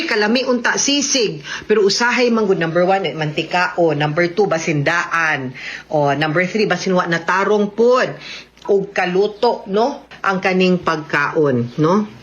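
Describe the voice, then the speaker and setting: A woman's voice: fairly low pitch, a bit bright, lo-fi sound. A woman with a Cebuano accent giving chatty, explanatory advice as a radio host and lawyer. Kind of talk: conversational radio advice talk.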